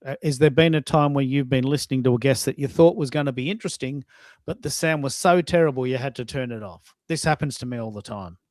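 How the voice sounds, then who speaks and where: A man's voice: mid-range voice, fast, a little mellow, no echo, studio quality. A man hosting a live audio show in a casual, conversational way, posing questions and acting out what people say.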